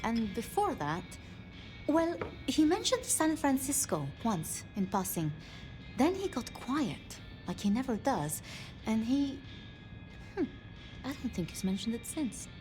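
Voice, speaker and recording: An actress playing a modest, unsure vampire apprentice in a video game, speaking haltingly and self-deprecatingly. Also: female voice, a little high, very animated, darkish tone. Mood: pensive. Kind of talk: video game dialogue acting. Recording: music behind the voice.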